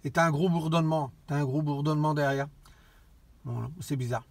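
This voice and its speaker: Halting man chatting casually, slightly high voice. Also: dry recording. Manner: halting, repeats phrases. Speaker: amateur radio operator. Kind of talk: casual radio contact chat.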